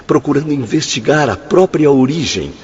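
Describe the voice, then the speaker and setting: A man's voice: fairly high pitch, animated, moderate pace, a little mellow, breathy edge, lo-fi sound. Swooping, declamatory male spirit instructor. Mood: earnest, grave. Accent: Brazilian. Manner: emphatic, declamatory. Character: earnest male spirit instructor lecturing his friends gravely. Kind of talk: radio drama acting.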